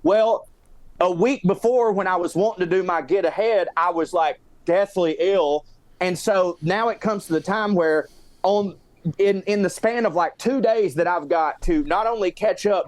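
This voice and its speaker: A man's voice: high-pitched, normal speed, dry recording. A man with a Southern US accent chatting casually as a podcast co-host, telling rambling, profane stories in a self-justifying way. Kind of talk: casual comedic chat.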